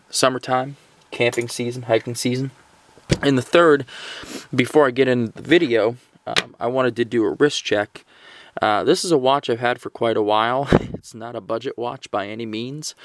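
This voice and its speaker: A man hosting a casual, unscripted product review video, talking in a halting way with pauses mid-phrase. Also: mid-range voice.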